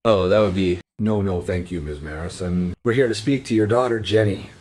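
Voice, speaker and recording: Man acting a polite cop in an audio drama, really deep voice, reassuring. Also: a little fast, a little mellow. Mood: polite, businesslike. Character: courteous, reassuring adult male police investigator.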